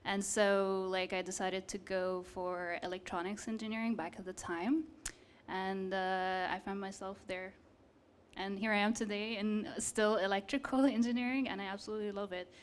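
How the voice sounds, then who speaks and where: A woman's voice: mid-range voice, fairly even intonation, normal speed, clear, resonant tone. A young woman from Egypt, a PhD candidate on a panel, explaining her work with frequent fillers. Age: young adult. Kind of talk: panel discussion.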